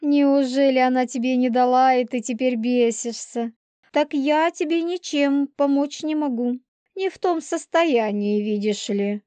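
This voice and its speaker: A woman's voice: sits high, a little slow, mellow, dry recording. An actress playing a manipulative pregnant young werewolf woman in an audiobook, spiteful, bitter, taunting and theatrical. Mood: mocking. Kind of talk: dramatised audiobook dialogue.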